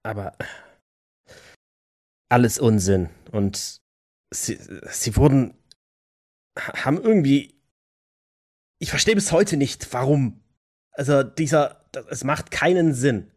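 A man playing an embittered, agitated vampire in an audio drama, emotional and sometimes halting. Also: mid-range voice, expressive, a little mellow. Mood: agitated, hurt. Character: embittered, agitated male vampire hunting anarchs. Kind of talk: German audio drama role-play acting.

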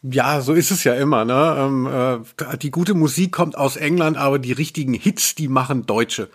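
A man's voice: medium pitch, moderate pace. A man with speech co-hosting a podcast in casual conversation. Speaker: podcast host.

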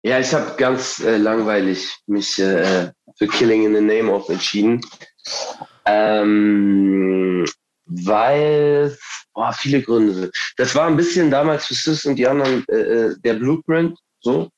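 A man's voice: lowish voice, slow pace, background noise. A man talking as a guest musician in a casual, slangy chat, with slightly dull sound. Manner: colloquial, slangy.

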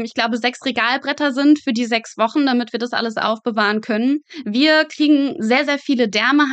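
A woman's voice: fairly high pitch, no echo. A young woman explaining things as a podcast guest in a casual, matter-of-fact way with dry humour. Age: young adult. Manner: matter-of-fact, colloquial, drily humorous. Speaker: podcast guest, medical student working in pathology.

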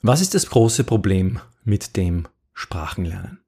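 A middle-aged Austrian man hosts a podcast, his lowish voice carrying a gravelly edge. He talks in a loose, chatty way, restarting sentences and tossing in rhetorical asides. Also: sing-song, measured pace, dark tone, faint music behind. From Upper Austria. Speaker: podcast host. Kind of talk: casual podcast talk.

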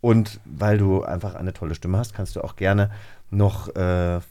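A man's voice: low voice, unhurried, dark tone. A man hosting in a casual, conversational chat style. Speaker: host.

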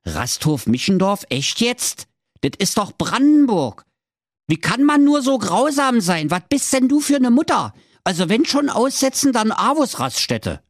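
Poor old storybook father, Berlin accent, indignant and grumbling, highly animated, played by a male narrator. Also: measured pace, dry recording, clean studio sound, heavily acted. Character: poor, old, soft-hearted, exasperated father and apple labeller.

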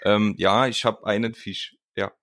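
A man's voice: lowish voice, steady tone, a little slow, vocal fry, a bit bright, no echo, studio quality. A young man in his twenties hosting a casual podcast interview, rambling and friendly, with lots of fillers. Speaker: podcast host.

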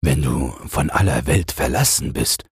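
A man voicing a calm, protective king in a fairy tale, measured and grave. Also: very deep voice, gravelly, breathy edge, no echo, studio quality, heavily acted. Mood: calm authority.

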